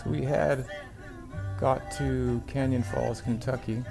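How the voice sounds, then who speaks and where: A man's voice: mid-range voice, steady tone, takes it slowly, gravelly, loud music behind the voice. A middle-aged man from the United States narrating a travel vlog about a road trip in an easygoing, lightly wry way.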